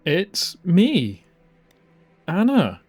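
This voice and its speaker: A man's voice: sits high, huge pitch swings, extremely slow, slightly rough, voice pushed up, heavily acted. A man voicing a young woman, a pleading and stubborn fighter, in an emphatic dramatised audiobook style. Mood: shocked, hurt.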